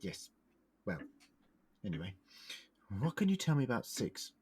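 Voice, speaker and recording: A man's voice: medium pitch, very animated, a little slow, a little mellow, breathy edge, exaggerated voice. A man playing an eccentric, polite alien time-travelling scientist in an audio drama, with a precise, wordy manner. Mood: indignant. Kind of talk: fan audio drama acting.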